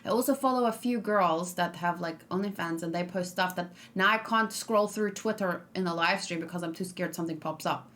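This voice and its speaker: A woman's voice: lowish voice, fairly quick, few pauses, a bit bright. Young adult woman streamer, casual reaction chat, frank and rambling, plenty of fillers. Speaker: streamer reacting to a game trailer.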